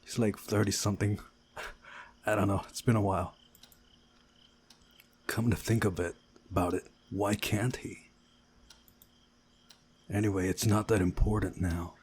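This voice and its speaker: A man's voice: fairly low pitch, steady tone, unhurried, long pauses, a little mellow, slight background noise. A man reading a visual novel aloud as a playthrough host, with casual asides.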